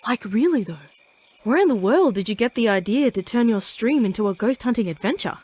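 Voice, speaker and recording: A woman's voice: a little high, lively intonation, brisk, a little mellow, husky. A woman playing a chatty, teasing suburban mom and old school friend in a visual novel, with a gossipy, casual delivery. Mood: playfully envious.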